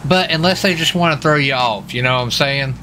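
Young man, bright forceful tone, casual gaming chat, rambling and repetitive, light background noise. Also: mid-range voice, brisk. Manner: rambling, repeats himself. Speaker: livestreamer and role-player.

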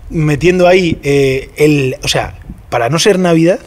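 A man's voice: fairly high pitch, moderate pace, darkish tone. A man co-hosting a podcast outdoors, bantering in a joking, mock-dramatic way. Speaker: podcast co-host. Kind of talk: casual banter. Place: outdoor terrace by a brick wall.

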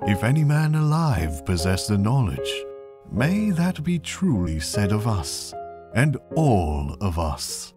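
A man narrating a literary passage in a solemn, measured, dramatic reading. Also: on the low side, sing-song. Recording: voice under clear instrumental music.

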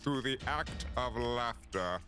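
A man voicing a pompous, formal agent boss with a stilted, deadpan delivery in a comedic game parody dub. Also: medium pitch, slow pace. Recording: music behind the voice.

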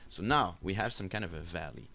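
A man giving an unscripted software screencast tutorial, explaining step by step in a halting, hesitant way. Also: sits low, expressive, creaky. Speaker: tutorial instructor. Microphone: voice-over for a screen recording.

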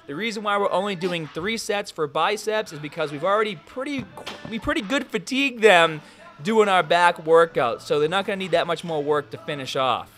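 A young man giving workout instructions as a fitness coach, energetic and coaching in tone. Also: high voice, fairly quick, faint music behind. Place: large gym, indoors.

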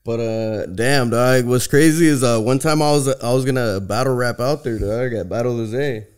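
A man in his thirties from Southern California, a comedian guest on a podcast, telling stories in relaxed street slang. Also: mid-range voice, normal speed, clear, resonant tone. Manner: laid-back slangy storytelling, says "dog" constantly. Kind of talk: casual chat. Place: podcast studio with brick wall. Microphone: boom studio mic.

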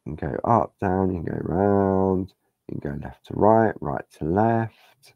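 Gravelly, slow-talking man with a croaky voice. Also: low voice, no room sound, dull audio. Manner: relaxed, chatty, rambling. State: hoarse. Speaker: craft demonstrator. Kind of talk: live craft-along demonstration. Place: craft studio table. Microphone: overhead camera on hands, speaker unseen.

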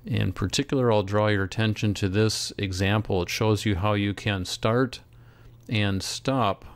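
A man narrating a software tutorial as an instructor, in a measured, step-by-step way. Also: on the low side, dry recording. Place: close, dry voice-over for screen recording.

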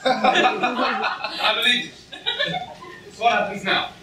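A man's voice: very high voice, steady tone, slow pace, sharp tone, full character voice. A man playing a polite, wry swashbuckler in a mask, in an amateur staged comedy reading. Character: suave masked swashbuckling hero, cool and witty. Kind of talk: amateur staged reading of a comic fantasy play. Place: on stage in a hall, audience camera. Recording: echoey distant stage recording, noisy.

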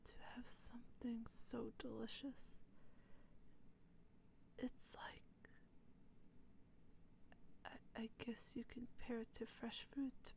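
A woman's voice: a little high, darkish tone, poor audio. Woman playing a whispering, hungry female vampire. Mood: strained, hungry. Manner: breathy, hesitant, slow and halting. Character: hungry, anxious female vampire, guarded and tender. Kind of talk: whispered ASMR roleplay.

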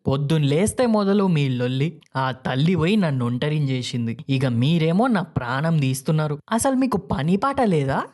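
A man's voice: a little high, expressive, normal speed, a little mellow, voice pushed up, lightly acted. A man voicing an exasperated older farmer and father in a story, with colloquial Telangana Telugu and a weary, complaining manner. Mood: exasperated scolding.

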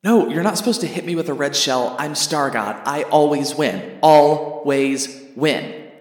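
A man's voice: fairly high pitch, animated, measured pace. A man playing a boastful, petulant video-game star god in a comic radio play, in heavy reverb. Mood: petulant boasting. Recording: echoey, long reverb.

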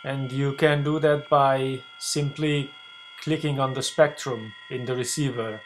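A man narrates an informal software demonstration for a video blog, speaking at a middling pitch. He explains things step by step in a halting, unscripted way. A noticeable hum and background noise sit under his voice. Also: steady tone. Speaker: ham radio video blogger demonstrating software. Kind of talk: unscripted screencast tutorial.

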